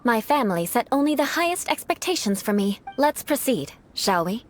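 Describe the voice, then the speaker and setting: A woman's voice: on the high side, very animated, normal speed, slight background noise. An actress voicing a haughty, elegant young aristocratic woman warrior in a video game, speaking in a formal, condescending, poised way. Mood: haughty, composed. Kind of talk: scripted video game character dialogue.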